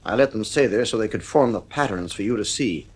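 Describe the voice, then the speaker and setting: A man's voice: on the low side, lively intonation, fairly quick, breathy edge. An actor playing a worried, defensive father in a 1950s radio drama, earnest and strained. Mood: urgent, uneasy. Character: worried, defensive father, anxious about his children.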